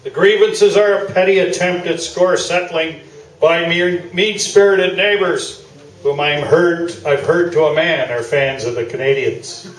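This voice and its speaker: A man's voice: a little high, unhurried, close to an everyday voice. A man playing a pompous, self-satisfied newspaper sports columnist in a live staged radio play, talking in grandiloquent phrases. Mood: smug, defensive. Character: pompous, boosterish male newspaper sports columnist, staunchly loyal. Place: small theatre stage, indoors. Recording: roomy, distant live theatre video, noisy.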